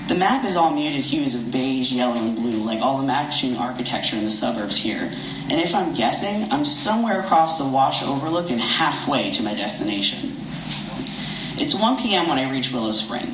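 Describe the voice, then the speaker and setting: A man's voice: mid-range voice, recorded from a distance. A man who writes, reading his personal essay aloud from a stage at a live event. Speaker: writer reading own work to an audience. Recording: echoey, noisy live room, off the mic.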